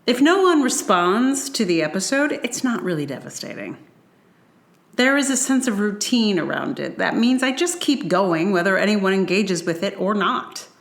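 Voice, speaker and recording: A woman podcast host reading her own personal essay aloud with measured, deliberate phrasing. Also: mid-range voice, lively intonation, vocal fry, roomy sound.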